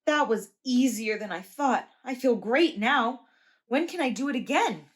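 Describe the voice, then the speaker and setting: A woman voicing a frightened, snappy schoolboy in a children's storybook read-aloud, pleading in a high, strained voice. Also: expressive, a little slow. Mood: relieved.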